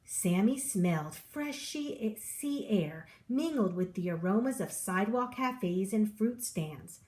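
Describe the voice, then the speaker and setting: A woman reading a children's picture book aloud, with an expressive storyteller delivery. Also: mid-range voice, slow pace.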